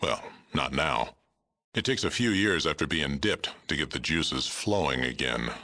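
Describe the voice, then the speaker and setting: An actor playing a huge, world-weary super mutant town leader in a video game, with a gravelly booming voice and a measured, blunt, stern delivery. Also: male voice, animated, sharp tone, heavily acted. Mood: dry, blunt. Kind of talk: video game character dialogue.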